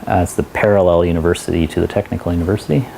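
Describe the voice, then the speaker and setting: Male lecturer, very low voice, digressive and restarting often; faint hiss behind him. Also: fairly even intonation, very fast, gravelly, warm tone, rough recording. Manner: digressive, many restarts and asides. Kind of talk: university lecture. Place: lecture hall.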